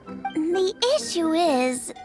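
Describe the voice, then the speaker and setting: A woman's voice: very high-pitched, drawn-out words, exaggerated voice. A woman voicing a spirited, earnest and stubborn young girl chef in a video game. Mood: stubborn, passionate. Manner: earnest, bubbly, emphatic about cooking. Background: game music.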